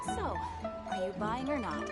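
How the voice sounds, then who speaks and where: A woman playing a blunt, dry bookshop keeper in a video game, speaking briskly. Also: medium pitch, very animated, over loud music. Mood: impatient. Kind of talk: video game character dialogue.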